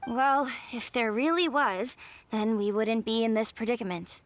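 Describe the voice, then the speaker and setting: A woman's voice: fairly high pitch, moderate pace, soft background music, natural voice. A woman voicing a resigned, skeptical troupe member in a video game. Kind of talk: video game character acting.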